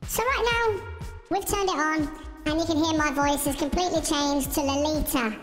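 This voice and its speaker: Squeaky filtered girly voice by a man, very high. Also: moderate pace, fairly bright tone, big-room sound, faint music behind. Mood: casual, explaining. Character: squeaky young female character. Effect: voice changer, pitched up female filter.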